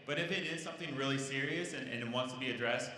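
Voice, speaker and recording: Housing office guy explaining room stuff, bright voice, big echoey auditorium. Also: mid-range voice, fast, a little hiss or hum. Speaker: university housing staff. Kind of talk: informational talk to parents. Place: large auditorium stage. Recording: echoey hall with long reverb.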